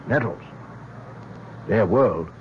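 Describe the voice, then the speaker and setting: An actor playing a concerned, plain-spoken small-town sheriff, friendly and a little hesitant, in a 1970s radio drama. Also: male voice, lowish voice, expressive, slow pace. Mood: puzzled. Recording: muffled, hissy old radio broadcast.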